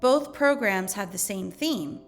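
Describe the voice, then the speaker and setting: A woman teacher reading educational material aloud in a measured, instructional way. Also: medium pitch, expressive, soft background music. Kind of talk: educational read-aloud narration.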